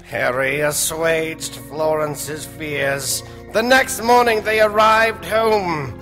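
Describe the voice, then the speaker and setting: A man playing an eerie, slyly macabre doctor who narrates an old-time radio horror serial in a theatrical, drawn-out, ominous way. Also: a little high. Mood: storytelling. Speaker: narrator and host of a mystery serial. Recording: reverberant stage hall, music behind.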